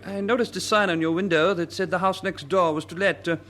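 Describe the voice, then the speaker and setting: A man's voice: high-pitched, steady tone, fairly quick, a bit bright, faint music behind. An actor playing a fussy, polite elderly Englishman in an old-time radio drama, chatty and a little hesitant. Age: older.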